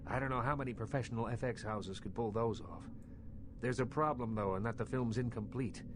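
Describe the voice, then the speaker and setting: A man voicing an imperious, territorial underworld boss in a video game, speaking in formal, measured, eloquent phrases with cool menace. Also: mid-range voice, background noise, close to an everyday voice. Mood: cool, businesslike. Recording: music behind the voice.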